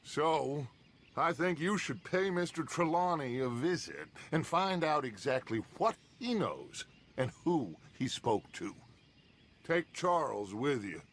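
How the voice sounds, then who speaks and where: An actor playing a grandiose, wily middle-aged outlaw leader in a video game, with theatrical, ornate delivery. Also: male voice, on the high side, expressive, slow pace. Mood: wry, commanding. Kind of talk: video game cutscene acting. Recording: loud background ambient noise.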